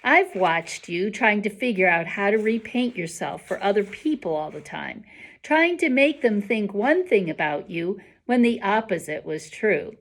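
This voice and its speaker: A woman voicing a quiet, observant, bookish boy with a measured, matter-of-fact manner in a storybook. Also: mid-range voice, lively intonation.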